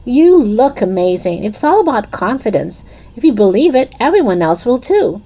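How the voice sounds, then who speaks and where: Lady doing a keen schoolgirl for an audiobook, high and bouncy, coaxing, playful, really enthusiastic. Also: sing-song, moderate pace, warm tone, husky, heavily acted. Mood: encouraging. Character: eager, persuasive schoolgirl, confident and playful.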